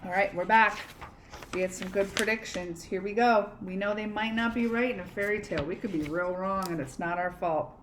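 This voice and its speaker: A woman teacher reading a storybook aloud to children in an expressive, conversational way, pausing to prompt them with questions. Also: medium pitch, a little hiss or hum.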